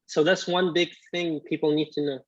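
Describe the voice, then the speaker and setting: A man in his twenties, born in Iraq with Arabic as his first language, telling his story as a guest in a casual interview, halting with many restarts. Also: fairly high pitch, fairly even intonation, no echo, lo-fi sound. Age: 20s. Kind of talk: casual video-call interview.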